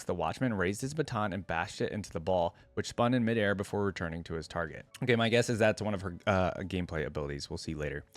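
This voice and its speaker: A man's voice: lowish voice, no echo. A man reading a story aloud expressively as a reaction video creator, breaking off for casual asides.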